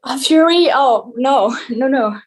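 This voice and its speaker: Woman playing Grover, a very high-voiced satyr boy. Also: slow pace, a little mellow, husky, full character voice, poor audio. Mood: nervous. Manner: panicky, exclamatory. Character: Grover, anxious, loyal young half-goat satyr boy. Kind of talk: theatrical table read.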